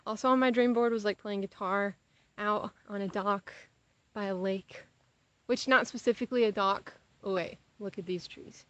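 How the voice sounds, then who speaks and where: A woman's voice: medium pitch, fairly even intonation, moderate pace, muffled recording. A young American woman chats casually while walking outdoors, rambling with playful exaggeration and easily sidetracked. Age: young adult. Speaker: vlogger.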